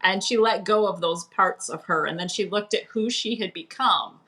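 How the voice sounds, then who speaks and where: A woman's voice: mid-range voice, normal speed, a bit bright. A woman speaking as a guest author, chatting casually about her own writing in an interview.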